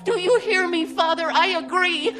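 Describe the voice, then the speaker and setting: An actress playing a self-absorbed, wounded middle-aged daughter in a radio drama, plaintive and whining. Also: female voice, extremely high pitch, normal speed. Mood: defiant. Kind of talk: dramatic radio play acting.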